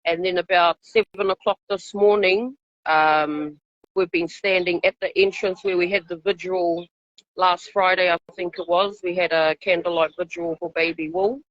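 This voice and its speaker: A woman's voice: fairly low pitch, fairly even intonation, moderate pace, dry recording. A woman with a New Zealand accent giving an earnest, informal live interview as an activist. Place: outside a hospital, live call. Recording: dull lo-fi live stream audio.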